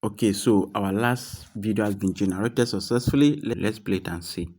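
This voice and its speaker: A man's voice: on the low side, moderate pace, darkish tone. A man presenting a casual tutorial, speaking directly to viewers in a reassuring, repetitive way. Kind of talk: casual screen-recorded tutorial.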